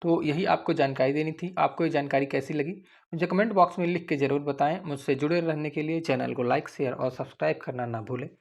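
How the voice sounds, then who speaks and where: Young male host, slightly high voice, informal explainer delivery, addresses viewers directly. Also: steady tone, fast talker, few pauses. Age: young adult. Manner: repetitive, often addressing listeners as friends. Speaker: YouTube host giving exam updates.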